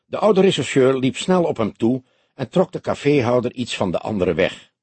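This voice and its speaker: A man narrating a detective novel as an audiobook, in deliberate, expressive storytelling. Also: mid-range voice, husky, no room sound.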